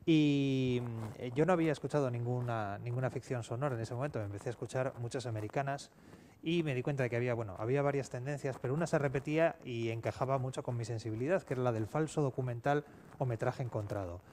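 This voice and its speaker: Fast-talking man from a Spanish panel, halting. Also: medium pitch, a little mellow. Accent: Spain. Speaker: audio fiction writer, panelist. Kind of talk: unscripted panel talk. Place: public round-table event. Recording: clear background noise, live event ambience.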